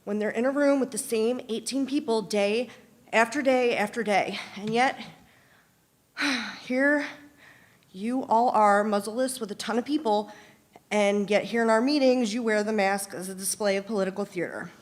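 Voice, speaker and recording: A woman's voice: a little high, normal speed. A woman giving a combative, accusatory public comment at a meeting.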